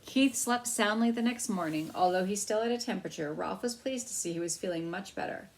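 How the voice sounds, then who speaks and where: A woman reading a children's book aloud as storyteller, in an expressive narrating style. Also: medium pitch, brisk, rough recording.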